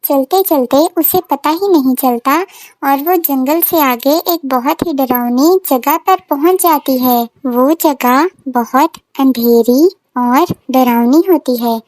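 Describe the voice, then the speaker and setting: A woman's voice: high-pitched, takes it slowly, a little mellow, dry recording, rough recording. A woman narrating a scripted children's story as storyteller, in a simple, repetitive manner.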